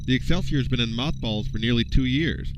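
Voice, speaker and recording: An actor playing a stern, skeptical starfleet admiral who speaks in a dry, pointed, authoritative way. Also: male voice, mid-range voice, fairly quick, close to an everyday voice, poor audio. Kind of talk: fan audio drama acting. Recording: music score underneath.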